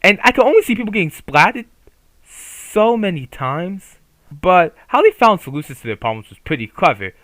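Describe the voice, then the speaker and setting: A man's voice: high voice, big pitch swings, moderate pace, fairly bright tone, no echo. A man giving casual, opinionated commentary on a manga, swearing freely and rambling with restarts. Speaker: YouTuber reviewing a manga.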